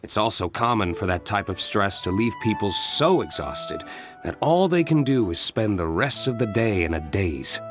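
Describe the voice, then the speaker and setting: An actor playing a composed, courteous young winery owner in a video game, measured and businesslike. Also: male voice, on the low side, big pitch swings, over music. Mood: calm, explanatory.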